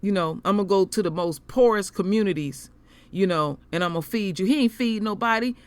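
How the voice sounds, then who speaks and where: A man's voice: a little fast, clear, resonant tone. A middle-aged man hosting his own commentary, talking unscripted and passionately to listeners he calls his family, heating up into rants. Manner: impassioned, preachy, addresses listeners as royal family; heated and ranting, rising pitch when angry. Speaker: online commentary host.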